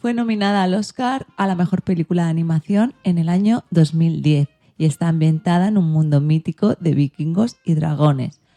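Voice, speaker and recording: Low, very mellow, creaky-voiced woman. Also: moderate pace, hardly any pauses. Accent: Spain. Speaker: podcast host. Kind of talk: scripted podcast presenting.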